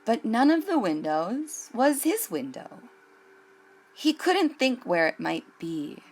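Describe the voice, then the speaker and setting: A woman's voice: fairly high pitch, big pitch swings, slow pace, darkish tone. A woman hosting a children's storytime, reading a picture book aloud expressively and addressing young listeners.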